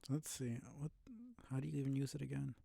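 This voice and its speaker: Young male streamer, mellow and creaky, mumbled offhand play-by-play with the odd curse. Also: flat delivery, fast talker, a little hiss or hum. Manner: mutters to himself, low-key, swears when frustrated. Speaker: streamer playing a video game. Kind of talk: casual gameplay commentary.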